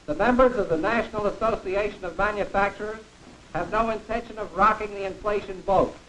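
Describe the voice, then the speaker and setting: A man's voice: sits high, brisk, a bit bright, rough recording. An actor playing a pompous, emphatic American pro-business man lecturing in a 1940s educational film with a hissy soundtrack. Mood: self-assured.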